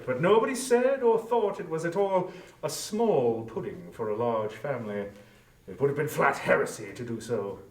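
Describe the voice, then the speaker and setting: Male narrator for a scripted literary reading, slightly high voice, standing a bit back from the mic. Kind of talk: scripted dramatic storytelling narration. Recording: roomy echo, distant from microphone.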